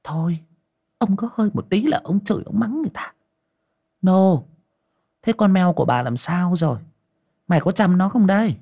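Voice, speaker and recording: A man voicing a nagging older wife who scolds her husband in a high voice. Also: lively intonation, moderate pace, gravelly, long pauses, darkish tone, heavily acted. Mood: fussing. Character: shrill nagging older wife, mistress of house.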